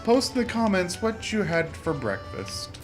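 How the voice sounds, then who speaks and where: A man's voice: high voice, very animated, unhurried. A man hosting a let's play with casual, rambling gameplay commentary, often interrupting himself with joking asides. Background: video game music.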